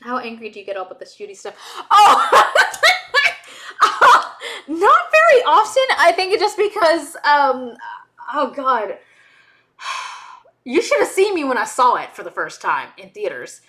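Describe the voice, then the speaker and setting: Chatty woman, animated delivery. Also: high voice, a little slow. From Southern United States. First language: Hebrew. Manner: rambling, chatty, lots of restarts and asides. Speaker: livestream host. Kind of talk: casual livestream chat.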